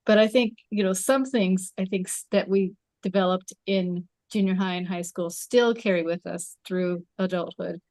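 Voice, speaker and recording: A woman's voice: fairly low pitch, moderate pace, few pauses, darkish tone, no room sound. A woman talking in a casual, conversational way during a group discussion.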